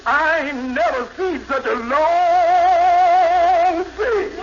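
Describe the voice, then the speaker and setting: An actor playing an excitable, gossipy, wisecracking crony in a 1950s radio comedy, exaggerating everything in folksy grammar. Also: male voice, very high voice, very slow, loud music behind the voice, heavily acted. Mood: excited, gossipy. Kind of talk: 1950s radio sitcom acting. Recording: muffled, very noisy 1950s radio recording.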